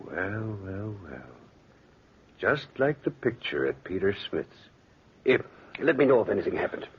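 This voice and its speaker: Wary gentleman caller, hugely animated, husky, courteous yet knowing, vintage radio drama. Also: lowish voice, measured pace, exaggerated voice. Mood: wary, jovial. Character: suspicious gentleman visitor, polite but wary. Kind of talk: old-time radio play acting. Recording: dull vintage radio recording.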